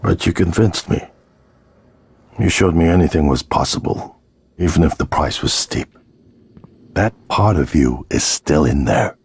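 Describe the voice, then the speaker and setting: A man playing a persuasive, scheming sci-fi executive in a video game, speaking in a measured, earnest way. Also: expressive, darkish tone, exaggerated voice. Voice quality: low, husky. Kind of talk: dramatic video game acting.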